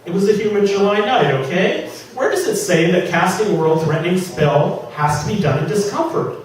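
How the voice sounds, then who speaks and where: A man's voice: high-pitched, normal speed. A man, an author giving a theatrical, emphatic live reading of comic fantasy fiction. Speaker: author reading from own novel. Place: public reading at an indoor venue. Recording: echoey room from far off, faint noise.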